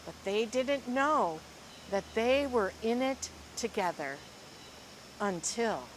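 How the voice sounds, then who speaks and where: A woman reading a picture book aloud to young children, with expressive, deliberate and dramatic phrasing. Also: on the high side, slight background noise. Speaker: school librarian reading story time.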